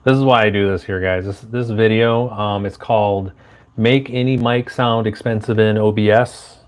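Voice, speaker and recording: A man's voice: fairly low pitch, fairly even intonation, normal speed. A man talking casually on a live stream, thinking aloud and muttering steps back to himself. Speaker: live streamer setting up a podcast room.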